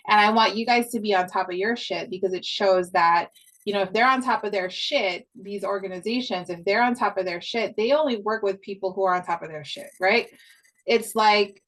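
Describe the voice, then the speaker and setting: A woman's voice: mid-range voice, brisk, fairly bright tone, low-quality recording. Upbeat chatty woman coaching podcasters. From Hawaii. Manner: chatty, upbeat, swears casually, repeats points. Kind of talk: casual online course talk.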